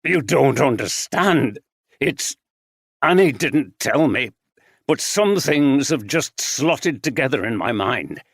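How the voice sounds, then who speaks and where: A man's voice: medium pitch, lively intonation, takes it slowly, vocal fry, husky, no echo, studio quality, heavily acted. A man playing a distraught man who is shaken and tearful.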